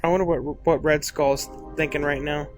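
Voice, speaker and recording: A man's voice: a little high, steady tone, normal speed, faint music behind. A man co-hosting casual film reaction commentary, slangy and full of questions. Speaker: movie commentary co-host.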